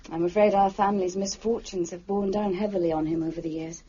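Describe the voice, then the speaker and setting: An actress playing a genteel, wistful sister of a reclusive gentleman in a period radio drama, formal and confiding. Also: mid-range voice, fairly even intonation, fast, slightly rough, dull audio, close to an everyday voice. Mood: wistful, grave.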